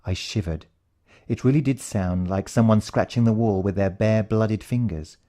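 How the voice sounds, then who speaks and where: British male narrator, low and very mellow, for suspenseful first-person fiction. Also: moderate pace. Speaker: audiobook narrator.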